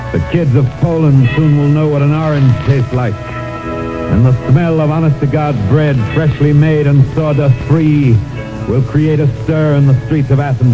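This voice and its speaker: A man narrating a 1940s radio drama in measured, declamatory, poetic phrases. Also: a little high, rough recording. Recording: old 1940s radio recording with music.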